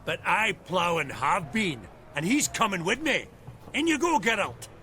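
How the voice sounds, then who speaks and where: Dwarf banker for a game, high swoopy voice, chummy and bossy, echoey room. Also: male voice, sing-song, measured pace, bright, forceful tone, slight background noise, full character voice. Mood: brash, jovial. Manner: colloquial, blustering, swearing. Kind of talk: video game voice acting.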